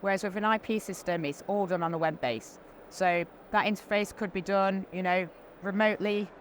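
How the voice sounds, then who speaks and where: A woman with a medium-pitched voice explains products conversationally. She is informal, plain-spoken and practical. Faint trade show noise runs underneath. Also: fairly even intonation, moderate pace. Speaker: product specialist being interviewed. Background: faint ambient noise.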